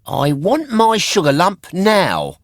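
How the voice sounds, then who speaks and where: Little wooden puppet boy, way up high, whiny n excitable, storybook kid with music behind. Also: slow pace, no room sound, studio quality, exaggerated voice. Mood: whiny protest.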